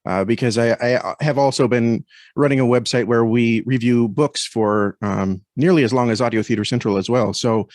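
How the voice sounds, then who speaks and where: A man with a mid-range voice speaks as a podcast guest in a dry recording. He is earnest and reflective, feeling his way haltingly through casual conversation. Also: medium pitch, darkish tone, no echo. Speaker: podcast guest and story critic. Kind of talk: casual interview talk.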